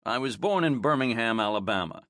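A man's voice: mid-range voice, animated, brisk, a bit bright, no echo. A man reading a first-person memoir aloud in a wry, self-mocking storytelling manner, close to a studio mic. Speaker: audiobook narrator.

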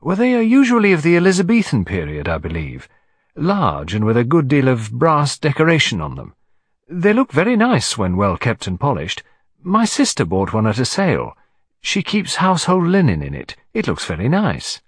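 A prim, efficient middle-aged secretary, a woman as a male narrator acts her out. The delivery is polite, literal and matter-of-fact, without a spark of imagination, in a slightly husky voice on a dry storybook recording. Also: mid-range voice, huge pitch swings, normal speed, no room sound, pitched above the performer's own voice.

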